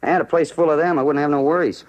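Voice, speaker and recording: An American actor playing a talkative, eager bar owner full of street slang in a 1950s radio crime drama. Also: male voice, fairly high pitch, steady tone, racing, dull audio, natural voice. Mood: chatty.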